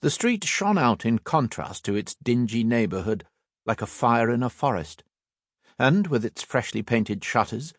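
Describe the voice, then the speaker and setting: A male audiobook narrator telling a classic tale with measured, expressive storytelling. His pitch rises and falls freely across the phrases. The close studio recording is dry, with no room sound. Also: no echo. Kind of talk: scripted narration of a classic novel. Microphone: close studio mic.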